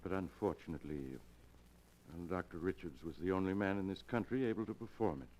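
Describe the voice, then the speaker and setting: An actor playing a hesitant middle-aged doctor in an old-time radio drama, halting with many pauses. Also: male voice, sits low, darkish tone, lightly acted. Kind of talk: 1940s radio acting.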